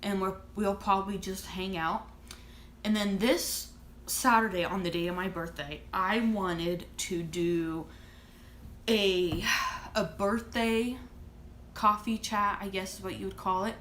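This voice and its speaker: A woman's voice: medium pitch, steady tone, slow, fairly bright tone, echoey room, a little hiss or hum. A woman in her thirties chatting casually to camera as a vlogger, rambling and restarting her thoughts. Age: 30s. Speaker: vlogger and author.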